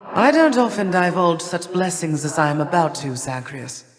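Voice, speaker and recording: A woman playing an imperious, cold older goddess of winter and harvest in a video game, with stately, formal, measured delivery. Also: on the low side, crowd noise, natural voice. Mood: grave, imperious. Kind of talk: theatrical video game character lines. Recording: long reverberant hall echo.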